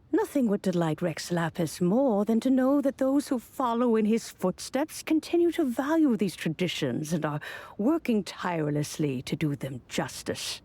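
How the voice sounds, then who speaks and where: A woman playing a wise, long-lived female mentor in a video game, speaking in a gentle, measured, formal storytelling way. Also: mid-range voice, breathy edge, heavily acted. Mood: reassuring. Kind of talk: video game character acting. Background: music.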